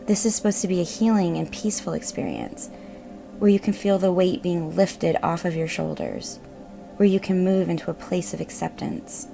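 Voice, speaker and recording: Low-voiced woman guiding meditation, slight creak. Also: normal speed, dark tone. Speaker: guided meditation host. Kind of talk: guided meditation narration. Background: meditative music.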